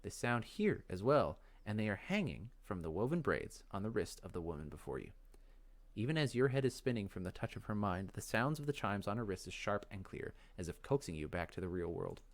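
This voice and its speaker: A man reading fantasy game text aloud as dramatic narration, in a measured, expressive storytelling manner. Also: lowish voice. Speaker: let's play host.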